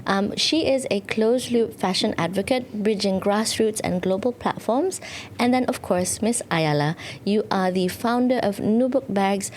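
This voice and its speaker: A woman's voice: medium pitch, normal speed, darkish tone, a little hiss or hum. Upbeat woman hosting a podcast. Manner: scripted, upbeat introductions with frequent "um" and affirming "mm-hmm". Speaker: podcast host. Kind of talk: hosted podcast conversation.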